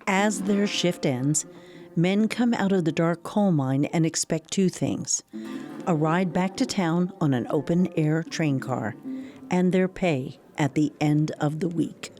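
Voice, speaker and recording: A woman giving measured, scripted narration for a radio drama. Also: low voice, darkish tone. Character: measured female storyteller narrator. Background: music.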